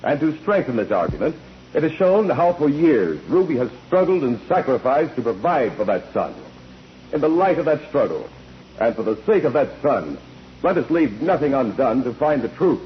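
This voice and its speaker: An actor playing an earnest, courteous criminal lawyer in a 1940s radio drama, speaking in a formal, measured way. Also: male voice, mid-range voice, very animated, lightly acted. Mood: earnest, persuasive. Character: forceful, earnest criminal lawyer addressing clients and judge. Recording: dull, noisy old radio recording.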